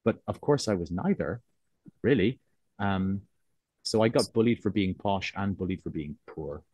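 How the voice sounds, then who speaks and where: Guy with a soft cork accent that's nearly english, low voice, thoughtful interview chat, really dry close sound. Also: lively intonation, normal speed, slightly rough, no echo. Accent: soft, neutralised Cork Irish, closer to English. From Cork, Ireland. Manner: hesitant, thoughtful, circling back to rephrase. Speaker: interviewed writer. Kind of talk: reflective conversational interview.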